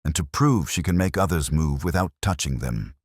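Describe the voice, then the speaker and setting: A man acts out a brilliant gentleman detective for a mystery audiobook. The voice is extremely low and grave, with deliberate, incisive phrasing and theatrical swings of intonation. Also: lively intonation, no echo, studio quality. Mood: intense, analytical.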